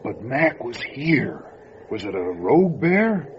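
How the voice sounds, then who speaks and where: Swooping, bewildered deputy. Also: male voice, medium pitch, very animated, slow. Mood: puzzled, uneasy. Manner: hesitant, incredulous. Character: bewildered, anxious male lawman's deputy. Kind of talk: radio horror drama acting. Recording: muffled, noisy radio drama audio.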